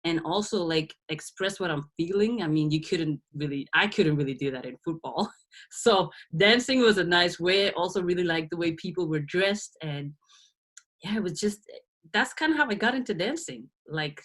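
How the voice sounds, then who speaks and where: A woman in her thirties from Belgium with a low voice. She talks through her past in a reflective, easygoing way, laughing often and restarting her sentences. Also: expressive, normal speed, a bit bright. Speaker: guest. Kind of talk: casual interview.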